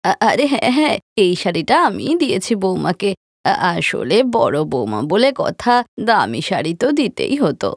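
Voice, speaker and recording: A woman's voice: fairly low pitch, very animated, normal speed, vocal fry, breathy edge, no echo, studio quality, pitched below the performer's own voice, exaggerated voice. A woman voicing a scheming, boastful older mother-in-law in a cartoon story, bossy and blustering. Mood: flustered, boastful.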